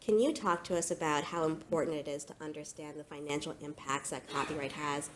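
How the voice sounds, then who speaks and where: Woman running a panel, really deep voice for a woman, organized and clear. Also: fairly even intonation, brisk, crowd noise. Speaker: panel moderator. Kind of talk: moderating a panel. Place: conference panel stage.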